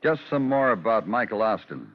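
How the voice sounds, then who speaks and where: A man's voice: on the low side, big pitch swings, moderate pace. A man playing a world-weary police detective in an old radio crime drama, speaking in a clipped, dry, probing way. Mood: probing, accusing. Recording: noisy, dull old radio recording.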